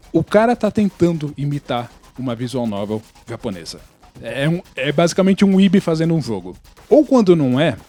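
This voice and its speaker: A man's voice: fairly high pitch, mellow. A Brazilian man explaining his opinions in casual podcast chat, blunt, opinionated and sometimes foul-mouthed. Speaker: podcast participant.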